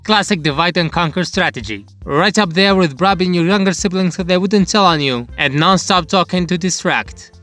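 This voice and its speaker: Male manga recap narrator, lightish pitch, scripted storytelling delivery, music bed. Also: moderate pace, few pauses, pushed and bright. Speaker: manga review channel narrator.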